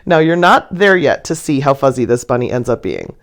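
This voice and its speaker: A woman's voice: extremely low pitch, very animated, fairly quick. A woman telling stories and chatting casually to viewers in a playful, gushing way, often with a giggle in her words. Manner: giggly, laughs through sentences. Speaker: artist presenting own painting video. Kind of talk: casual storytelling chat, voice-over to viewers.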